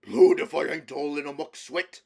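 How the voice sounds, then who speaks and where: Man putting on a big polite giant, pitched up. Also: steady tone, moderate pace, gravelly. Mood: puffed, cheerful. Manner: rustic, ungrammatical speech. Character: huge, polite, simple, good-natured male giant.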